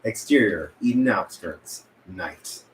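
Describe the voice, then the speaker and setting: A man's voice: low-pitched, expressive, takes it slowly. Man narrating a script in echoey room. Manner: stumbles over words and corrects himself. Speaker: stage-direction narrator. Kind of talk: script table-read narration. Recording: echoey room with background noise.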